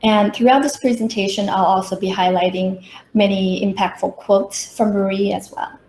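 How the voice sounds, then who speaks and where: A woman's voice: mid-range voice, fairly even intonation, moderate pace, darkish tone. A middle-aged woman from Taiwan giving a prepared workshop presentation with personal stories, as a professional consultant. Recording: roomy echo, faint background noise.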